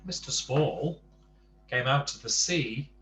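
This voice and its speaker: A man's voice: mid-range voice, animated, slow pace, a bit bright, echoey room, a little hiss or hum. A man reading a children's bedtime story aloud, adding chatty asides to the listener.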